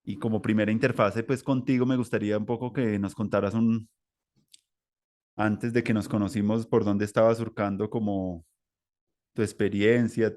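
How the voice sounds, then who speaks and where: A man's voice: fairly low pitch, a little mellow. A man hosting an interview podcast, talking in a rambling way with many fillers and restarts. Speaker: podcast host. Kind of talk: conversational interview.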